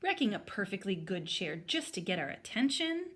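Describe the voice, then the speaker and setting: A stern storybook papa bear, read by a woman in her own register. He is firm, disapproving and quick to scold. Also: medium pitch, very animated, husky.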